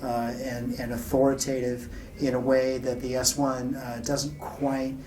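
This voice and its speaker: A man being interviewed at a trade show, explaining technical details in a measured, informal way. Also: mid-range voice, little pitch movement, recorded from a distance. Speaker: loudspeaker company founder being interviewed. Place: exhibit room at an audio show. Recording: off-mic, noisy exhibit room.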